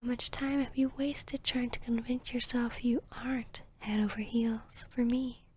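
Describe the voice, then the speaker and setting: A woman voicing an arrogant, seductive vampiress hypnotist in a whispered ASMR roleplay, with a breathy, smug, teasing delivery. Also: fairly high pitch, fairly even intonation, a little mellow, slight background noise, natural voice. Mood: amused, condescending. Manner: slow, smug, teasing, deliberate.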